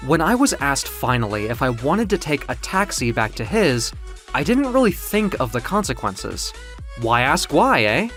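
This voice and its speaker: A man narrating first-person audio fiction in a theatrical, expressive, confiding way. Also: mid-range voice, normal speed. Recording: music under the voice. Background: music.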